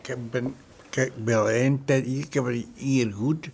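A man, a resident of a care home, answering interview questions in short, halting, broken phrases. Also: medium pitch, fairly even intonation, gravelly, a little mellow, slight background noise.

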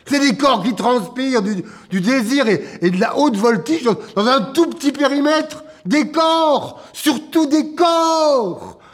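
An actor playing a bitter, despairing man of the jazz club world in a drama, husky, sarcastic and theatrical. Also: medium pitch, expressive, darkish tone. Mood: exasperated, passionate. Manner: sarcastic, theatrical, halting bursts. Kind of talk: naturalistic drama dialogue.

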